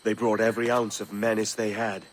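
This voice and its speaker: An actor playing a grim, resolute pirate captain in a video game cutscene. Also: male voice, lowish voice, little pitch movement, a little fast, slight background noise, close to an everyday voice. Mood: watchful.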